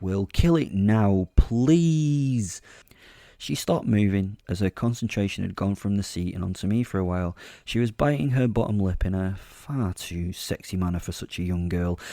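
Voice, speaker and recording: Male first-person narrator for dark fiction: very low, dark timbre, wry and confiding, close dry recording. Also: vocal fry, dry recording. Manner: wry, confiding, rambling asides. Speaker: narrator and host of a fiction podcast. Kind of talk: first-person horror drama narration, told in character.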